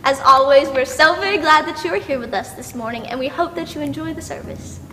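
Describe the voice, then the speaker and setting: A woman's voice: high voice, fairly quick, pushed and bright, slight background noise. A young woman giving upbeat, scripted church announcements with enthusiasm, addressing the congregation. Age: young adult. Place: large empty church sanctuary, indoors. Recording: echoey church hall, music behind.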